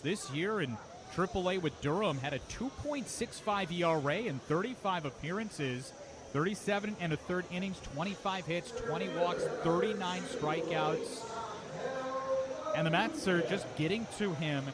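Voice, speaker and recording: A man doing live radio play-by-play of a baseball game as the announcer, talking conversationally between pitches and lifting his voice for action. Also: lively intonation, normal speed. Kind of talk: live radio sports commentary. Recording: live ballpark broadcast with loud background noise. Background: outdoor ballpark ambience.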